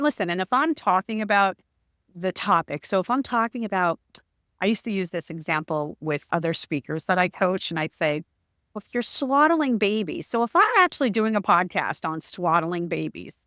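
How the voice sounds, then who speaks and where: Loud, booming woman co-host. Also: normal speed, no echo, clean studio sound. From Arizona, USA. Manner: energetic, animated, jokey. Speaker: podcast co-host. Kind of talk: casual podcast chat.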